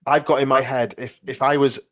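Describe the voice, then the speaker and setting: A man's voice: mid-range voice, fairly even intonation, a little fast, a bit bright. A man interviewing casually as a podcast host, hesitant and self-deprecating in his delivery.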